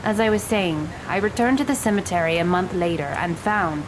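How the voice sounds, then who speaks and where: A woman's voice: on the low side, fairly quick, noisy background, natural voice. A woman playing a cold, sarcastic thirteen-year-old girl in an audio drama, with a dry, curt delivery. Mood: dry, detached.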